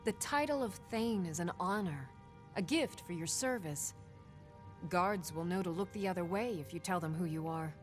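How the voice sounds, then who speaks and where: An actress playing a loyal, stoic warrior bodyguard in a fantasy video game, earnest and dutiful. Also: female voice, fairly low pitch, moderate pace, natural voice. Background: music.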